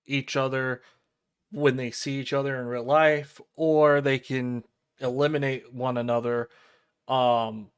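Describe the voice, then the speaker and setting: A man giving casual commentary on a visual novel playthrough, explaining things in a rambling, halting way. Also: medium pitch, flat delivery, clear, resonant tone. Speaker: game streamer. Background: game music.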